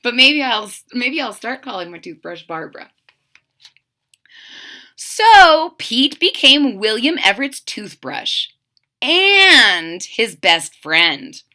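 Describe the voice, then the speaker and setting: A woman reading a children's storybook aloud as a kindergarten teacher, with expressive, explanatory asides to young listeners. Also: on the high side, takes it slowly.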